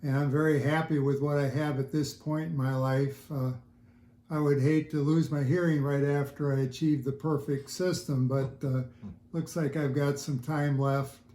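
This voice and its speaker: Male hobbyist, seventies, relaxed and slightly higher-pitched voice, halting interview delivery, recorded in an echoey home room. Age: 70s. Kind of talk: casual interview answers.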